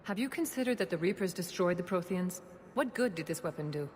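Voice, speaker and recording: A woman voicing a grave, measured alien councilor in a dramatic video game. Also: on the low side, animated, darkish tone, natural voice. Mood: challenging. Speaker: politician in council debate. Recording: echoing hall, background noise.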